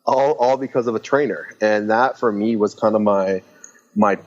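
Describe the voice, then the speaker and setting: A man's voice: lowish voice, dull audio. A man from Lebanon, raised in California, speaking as a podcast guest on fitness business, telling it with restarts and acted-out thoughts. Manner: talks in quick bursts with restarts, acts out trainers' thoughts. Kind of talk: casual podcast interview.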